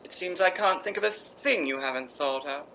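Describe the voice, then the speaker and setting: Guy doing a grand suspicious stage diva, forceful bright voice, arch sarcasm, old radio play style. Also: fairly high pitch, normal speed, a little hiss or hum, poor audio. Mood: icily polite. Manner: theatrical, arch, clipped sarcasm. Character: imperious middle-aged stage actress, suspicious and icily polite. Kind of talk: 1950s radio drama acting.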